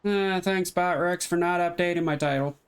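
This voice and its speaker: Smooth-voiced, laid-back game streamer. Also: mid-range voice, fairly even intonation, moderate pace. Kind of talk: casual livestream gameplay commentary. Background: faint game music.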